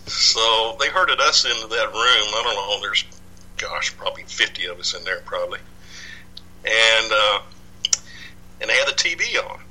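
A man's voice: on the low side, lively intonation, moderate pace, long pauses, bright, forceful tone, low-quality recording. A man, telling his story as a podcast guest, rambling with lots of fillers and restarts. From Dallas, Texas. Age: 60s. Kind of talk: casual interview.

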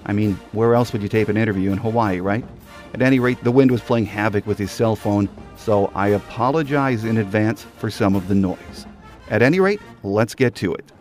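A man's voice: on the low side, brisk, darkish tone, faint music behind. An American man hosting a sports podcast, speaking in a conversational, folksy announcing manner. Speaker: podcast host. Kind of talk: sports podcast monologue and interview intro.

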